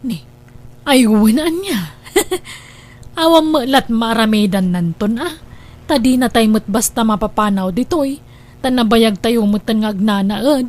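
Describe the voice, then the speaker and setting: Scheming married woman female actor, radio drama, high voice, insistent wheedling delivery. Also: a little slow, darkish tone, natural voice. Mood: conspiring. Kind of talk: Ilocano radio drama acting.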